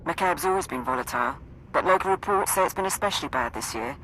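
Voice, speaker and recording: Composed army support officer in a game, low and crisp, brisk, bit of noise. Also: female voice, lively intonation, a bit bright, low-quality recording. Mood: calm, businesslike. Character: composed female military support officer briefing an agent. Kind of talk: video game dialogue over comms.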